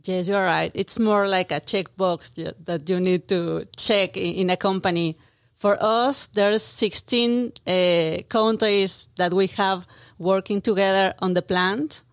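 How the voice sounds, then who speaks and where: A woman's voice: medium pitch, fairly even intonation, measured pace, no echo. A woman in her thirties with a Mexican Spanish accent speaks earnestly in a radio studio, restarting phrases and laughing now and then. Her voice sits in the middle of the range. The sound is close and dry. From Mexico City. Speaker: brand marketing manager, guest. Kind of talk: radio interview.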